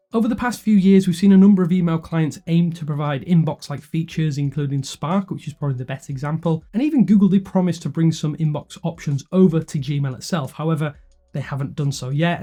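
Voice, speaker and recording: Male review narrator, slightly high pitch, relaxed dark tone, scripted delivery, light music bed. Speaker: tech reviewer and video host. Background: background music.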